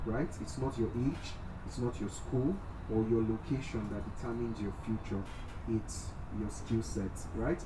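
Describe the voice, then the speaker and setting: A young Nigerian man giving a motivational talk to a live audience, often checking in with short tag questions as he goes. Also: mid-range voice. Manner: frequently checks in with "right?". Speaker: guest speaker. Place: event space in a mall. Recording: echoey, noisy venue, distant pickup.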